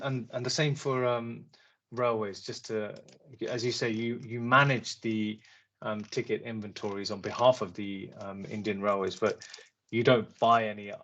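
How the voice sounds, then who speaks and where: Low-voiced, hesitant man questioning as an investor. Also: a bit bright. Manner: hesitant, polite, rephrases often. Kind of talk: investor conference call questions.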